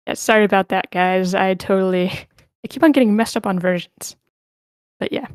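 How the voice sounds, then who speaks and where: A woman with a clear, smooth voice hosts an online read-through. She talks casually and informally, apologetic in manner. The sound is dry and close, with no room around it. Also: mid-range voice, moderate pace, dry recording. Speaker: host of an online screenplay table read. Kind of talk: casual online meeting chat.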